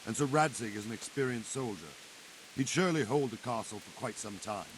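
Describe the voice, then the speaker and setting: A man's voice: medium pitch, animated, fairly quick, close to an everyday voice. A man playing an earnest medieval castle guard on night watch in a video game cutscene. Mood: grim, conversational. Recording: hissy, noisy with rain.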